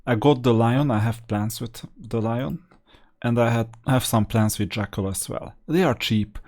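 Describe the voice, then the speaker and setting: A man's voice: mid-range voice, a little mellow. A man narrating a video game with casual, matter-of-fact commentary, explaining strategy as he plays. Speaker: host of a gaming strategy channel.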